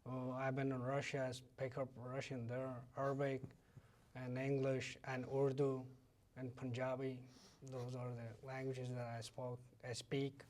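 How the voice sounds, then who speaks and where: A man from Afghanistan with an Afghan accent, a native Pashto speaker, answering interview questions in halting English. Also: mid-range voice, monotone, darkish tone. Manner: halting, non-fluent English with repeated phrases. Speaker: interview guest, former military interpreter.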